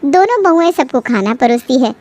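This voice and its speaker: A woman narrator with a high voice tells a domestic bedtime story. The delivery is scripted storytelling for animation. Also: sing-song, moderate pace, darkish tone.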